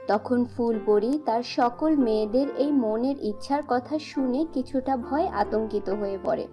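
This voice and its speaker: A woman narrating a scripted fairy tale for a children's cartoon. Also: on the high side. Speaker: storyteller, narrator.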